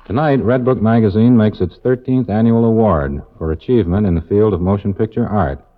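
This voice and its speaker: A man's voice: fairly low pitch, brisk. A man giving a formal, scripted award presentation on 1950s radio as a magazine editor. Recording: thin, phone-like old radio recording.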